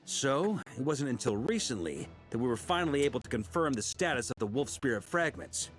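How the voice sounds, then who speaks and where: Casting: male actor for a genial, commanding fantasy knight leader, highly animated mid-pitched voice, game music underneath. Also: fairly quick, lightly acted. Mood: explaining plans. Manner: rallying, commanding, theatrical. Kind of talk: video game voice acting.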